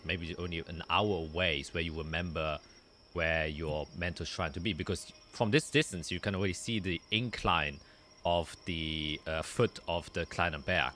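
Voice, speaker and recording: A man with a low, bright and forward voice narrates as a game master. He describes scenes in a halting way, working them out as he speaks. Insect ambience and some noise sit behind him. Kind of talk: narrating a tabletop roleplaying game.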